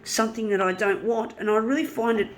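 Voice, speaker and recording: A woman, hoarse from a flu with a blocked nose, chatting and swearing freely as she works. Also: a little high, steady tone, fairly quick, slight background noise, rough recording. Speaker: artist. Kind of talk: casual talk while working.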